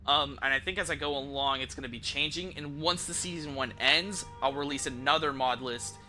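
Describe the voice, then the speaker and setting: A man's voice: a little high, a little fast, bright, forceful tone, soft background music. A man who streams games, giving casual, rambling commentary and thinking aloud in informal, mildly profane language. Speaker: game streamer.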